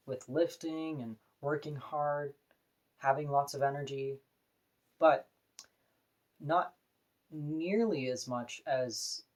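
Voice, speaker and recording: Deep-voiced woman, earnest vlog talk. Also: a little mellow. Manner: earnest, opinionated and plain-spoken. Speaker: vlogger. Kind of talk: personal talking-to-camera vlog.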